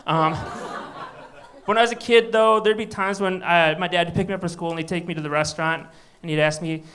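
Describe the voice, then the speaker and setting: A man's voice: high voice, steady tone, moderate pace, fairly bright tone. A man from Michigan doing a live stand-up set on a comedy club stage, bantering wryly with the crowd. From Michigan, USA. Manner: wry, chatty crowd banter.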